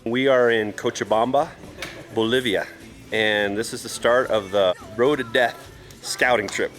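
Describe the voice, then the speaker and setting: A man's voice: medium pitch, steady tone, unhurried, fairly bright tone, faint music behind. A man leading a motorcycle tour, narrating his travel video in an easygoing, joking way, recorded outdoors. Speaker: tour leader filming the trip.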